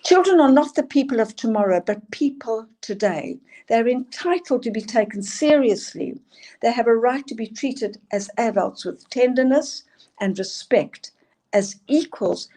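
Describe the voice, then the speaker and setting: Older South African woman, emphatic presenter, warm low-brightness tone. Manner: earnest, emphatic, asks for next slides. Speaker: school leadership speaker. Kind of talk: presenting slides in a talk.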